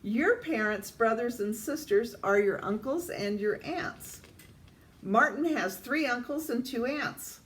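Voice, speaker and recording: An older woman reads a children's picture book aloud in a deliberate way, addressing young listeners. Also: a little high, expressive. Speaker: storytime reader. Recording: distant mic, faint background noise.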